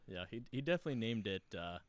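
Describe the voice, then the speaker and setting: A laid-back man in casual podcast conversation, talking loosely with plenty of fillers. His voice sits fairly low. He sounds relaxed and unhurried. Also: no echo. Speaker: podcast guest. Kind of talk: casual chat.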